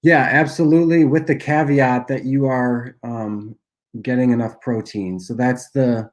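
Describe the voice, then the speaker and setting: A young man with a nasal voice from a cold, casually answering viewer questions on a livestream and often clearing his throat. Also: medium pitch, a little slow, dull audio. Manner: relaxed, loose delivery with frequent uhs and throat clearing. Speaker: app cofounder and dietitian hosting a Q&A livestream.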